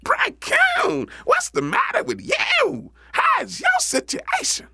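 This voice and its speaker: A man voicing an excitable, scheming storybook rabbit who hollers in folksy Southern talk. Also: very high voice, takes it slowly, creaky, no echo, clean studio sound, voice pushed up, exaggerated voice. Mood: concerned, animated. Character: high-voiced excitable scheming trickster rabbit.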